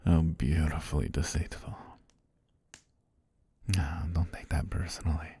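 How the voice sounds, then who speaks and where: A man voicing an ancient serpent god, calm, predatory yet tender, in a slow, formal, whispery ASMR roleplay with a husky, breathy low voice. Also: heavily acted. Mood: amused, tender. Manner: slow, deliberate, formal speech with whispery delivery. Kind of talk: intimate ASMR roleplay monologue.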